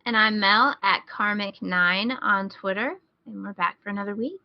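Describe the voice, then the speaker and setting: A woman's voice: mid-range voice, moderate pace. Woman co-host, slightly muffled sound. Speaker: podcast co-host. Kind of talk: casual chat.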